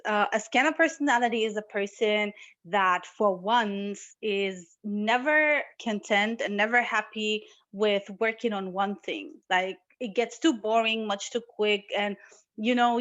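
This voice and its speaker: A young woman from Morocco, guest on an interview over a video call, talking in a lively, casual way with headphones on. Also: mid-range voice, no room sound, highs cut off. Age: young adult. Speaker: guest entrepreneur and artist.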